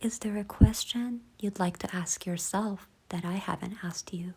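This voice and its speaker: A woman leading a guided visualization in a soft, hushed, breathy voice, gentle, unhurried and soothing. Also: mid-range voice. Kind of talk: slow guided meditation narration.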